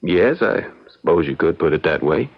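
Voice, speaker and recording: An actor playing a calm young frontier lawyer, measured, folksy and probing, in an old-time radio drama. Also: male voice, low voice. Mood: thoughtful. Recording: dull lo-fi radio drama recording.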